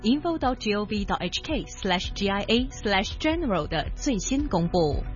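A woman's voice: mid-range voice, very animated, moderate pace, muffled recording, soft background music. Woman carefully spelling a web address. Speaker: announcer.

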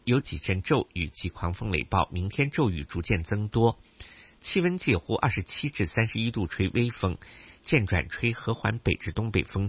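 A man reading a formal radio news and weather bulletin in a measured, even way. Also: fairly low pitch, breathy edge, no echo. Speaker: radio newsreader. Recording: dull broadcast sound.

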